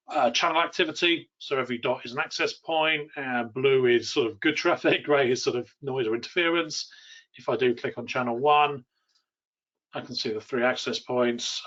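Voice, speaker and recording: A man's voice: mid-range voice, fairly bright tone. The recording is a little dull and lo-fi. A man talks as a technical trainer giving a live product demo. His explanations are informal and loosely phrased, with a hesitant way of getting to each point.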